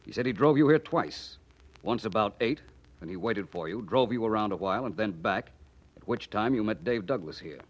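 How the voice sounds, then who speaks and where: Animated suave radio detective. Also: male voice, on the low side. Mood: probing. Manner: polite, deliberate, theatrically explanatory. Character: suave, self-assured male private detective. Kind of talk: 1940s radio drama acting. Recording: muffled, noisy 1940s radio recording.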